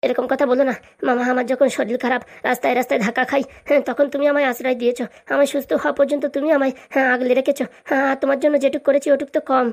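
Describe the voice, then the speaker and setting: A woman's voice: high-pitched, flat delivery, rapid-fire. A woman voicing a kind, forgiving nephew in a comic cartoon, earnest and reassuring.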